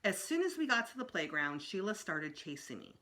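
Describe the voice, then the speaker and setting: A woman's voice: lowish voice, sing-song, brisk, sharp tone. A woman reading a children's book aloud as a storytime narrator, expressively. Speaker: storytime reader.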